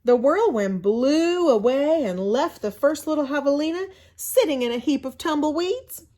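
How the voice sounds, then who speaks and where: A woman with a fairly high voice reads a picture book to children. She is expressive and teacherly, stepping out of the story to explain things and put simple questions to her listeners. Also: normal speed. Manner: expressive, teacherly, often asks rhetorical questions. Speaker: school librarian reading aloud to children. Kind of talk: children's story read-aloud with asides and questions.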